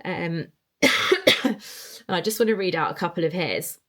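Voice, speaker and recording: Host: young woman, low-pitched, rambling and chatty. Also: normal speed. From England. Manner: chatty, rambling, reading listener answers aloud. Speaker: podcast host. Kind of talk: casual solo podcast chat.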